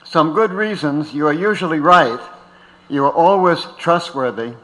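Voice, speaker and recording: A man's voice: a little high, moderate pace, fairly bright tone, low-quality recording. A man giving informal tribute remarks in a hesitant, wry, understated way. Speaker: speaker at a tribute.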